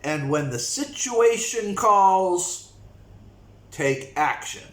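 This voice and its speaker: A man from the United States talking slowly and reflectively to his viewers in a casual vlog. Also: high-pitched, animated. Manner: slow, reflective, with frequent pauses. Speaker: vlogger. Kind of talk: casual talk to camera. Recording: echoey room with faint noise.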